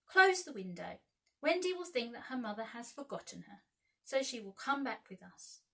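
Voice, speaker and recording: A bold boy adventurer from a children's storybook, played by a woman reader. The voice is bright and forceful, urgent and decisive, like an impulsive young leader giving orders. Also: on the high side, very animated, normal speed, creaky, distant mic, heavily acted. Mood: urgent, scheming. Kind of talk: children's storybook read-aloud.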